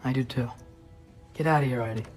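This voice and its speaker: A man's voice: medium pitch, fast, a little mellow, soft background music, close to an everyday voice. A man playing an anxious, frustrated teenage boy demigod in a video game cutscene. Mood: sulky.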